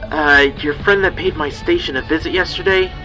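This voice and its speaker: An actor playing a gruff, sardonic, foul-mouthed small-town police chief in a radio drama, confrontational and folksy. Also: male voice, fairly high pitch, steady tone, a little fast, over music, lightly acted, rough recording. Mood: angry, warning.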